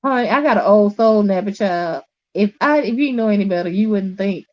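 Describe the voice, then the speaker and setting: A woman's voice: medium pitch, lively intonation, brisk. Southern lady in her late 40s chatting folksy style, voice bounces all over, kinda dull lo-fi audio. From North Carolina, US. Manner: folksy, calls people honey and sweetie. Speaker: host. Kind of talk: casual online chat.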